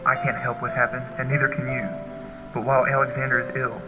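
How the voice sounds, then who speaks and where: Audio drama: man playing scheming businessman, middle register, measured persuasion, prominent score. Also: medium pitch, little pitch movement, natural voice, poor audio. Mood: pleading, persuasive. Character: calculating businessman, lover of his brother's wife. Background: loud background music.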